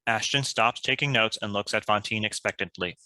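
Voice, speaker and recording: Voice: medium pitch, brisk, pushed and bright. A narrator reading stage directions from a script in a scripted narration style.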